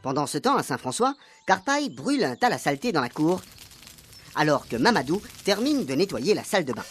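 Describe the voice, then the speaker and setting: Lively radio serial narrator over music. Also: medium pitch, brisk.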